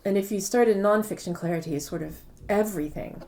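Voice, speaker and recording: A woman's voice: medium pitch, fast, mellow. A woman author being interviewed, explaining things articulately, step by step.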